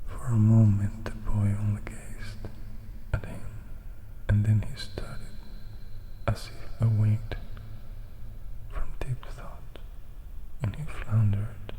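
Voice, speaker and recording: A man's voice: lowish voice, flat delivery, takes it slowly, pauses often, dark tone, rough recording. A man whispering an ASMR audiobook narration close to the microphone. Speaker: ASMR storyteller.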